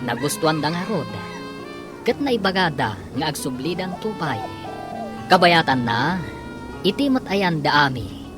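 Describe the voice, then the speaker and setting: A woman's voice: sits low, sing-song, a little slow, pauses often. A woman with a Filipino accent, reading scripted credits and dramatic narration as a radio drama announcer. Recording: music bed behind the voice. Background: background music.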